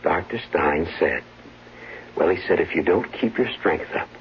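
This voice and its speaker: An actor playing an evasive, anxious husband in a 1970s radio drama, earnest, hesitant and placating. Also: male voice, low voice, lively intonation, moderate pace. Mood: placating concern. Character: anxious Broadway-star husband, evasive, placating, weak-willed. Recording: dull, noisy, humming vintage radio recording.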